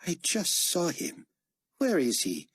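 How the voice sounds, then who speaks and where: A man's voice: a little high, wildly swooping pitch, slow, slightly rough, no echo, pitched above the performer's own voice, heavily acted. A man voicing an imperious, menacing dictator in an audiobook, with commanding, sneering, formal diction. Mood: impatient. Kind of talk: dramatized audiobook character voice.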